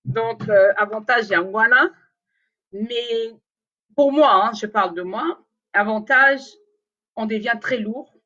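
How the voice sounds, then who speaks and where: A woman's voice: fairly high pitch, takes it slowly, long pauses, bright, forceful tone, muffled recording. A woman whose first language is Lingala, chatting casually and rambling to her viewers in a live video, with many fillers and restarts. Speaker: vlogger talking to viewers. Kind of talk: casual live chat to camera.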